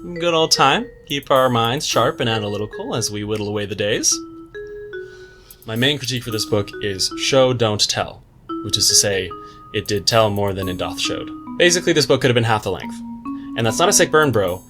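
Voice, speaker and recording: A man with a fairly low voice delivers scripted commentary as a reviewer and video host. His manner is wry and tongue-in-cheek, full of slangy asides. Faint music plays behind him. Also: expressive, moderate pace.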